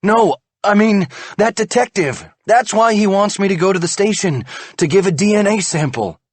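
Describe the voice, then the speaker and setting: A man's voice: high-pitched, huge pitch swings, slow, husky, no echo, voice pushed up, exaggerated voice. A man voicing a guilty, ashamed husband who pleads and defends himself in an audiobook. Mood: pleading.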